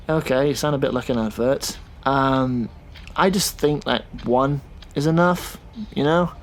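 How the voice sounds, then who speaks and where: A man playing a thoughtful, sceptical taxi driver in a naturalistic audio drama, talking in a casual, halting way. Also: medium pitch, slight background noise, lightly acted. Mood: reflective.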